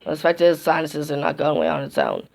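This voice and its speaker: A woman chats to her vlog viewers in a hoarse, gravelly voice that is nearly gone. She rambles and keeps restarting her sentences. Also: deep voice, sing-song, darkish tone. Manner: rambling, halting, many restarts. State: hoarse, losing her voice from a sinus infection. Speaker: YouTube vlogger. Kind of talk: casual vlog chat to camera.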